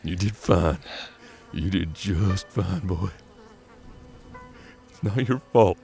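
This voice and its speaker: Gravelly, weary Old West bounty hunter. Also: male voice, low-pitched, slow, mellow, full character voice. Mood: tender, grieving. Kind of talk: western audio drama acting.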